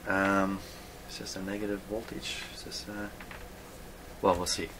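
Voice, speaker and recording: A man hosting a hands-on repair demonstration, thinking aloud in a halting, muttering way as he works. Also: low-pitched, little pitch movement, background noise. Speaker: host of a radio repair video.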